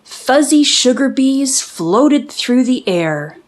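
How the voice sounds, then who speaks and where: A woman reading a children's storybook aloud as an expressive narrator. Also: sits high, slow.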